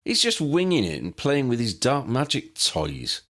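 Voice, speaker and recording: A man voicing a tired, stubborn and blunt young male wizard with a croaky, bitter delivery in an audiobook. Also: medium pitch, huge pitch swings, measured pace, a bit bright, pitched above the performer's own voice.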